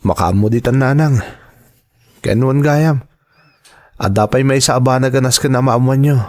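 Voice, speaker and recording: A man's voice: medium pitch, moderate pace, a little mellow, lightly acted. A man playing an earnest young lover and respectful son in a dramatized audio story. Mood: earnest, pleading.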